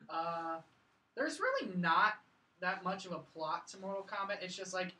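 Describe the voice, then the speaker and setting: A woman hosts a casual film chat in a roomy, echoey space, a little away from the mic. Her pitch is on the low side and moves around a lot. She speaks informally and with animation. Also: animated, normal speed, bright, forceful tone. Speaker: podcast host. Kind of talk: casual movie review chat. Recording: roomy echo, distant from mic.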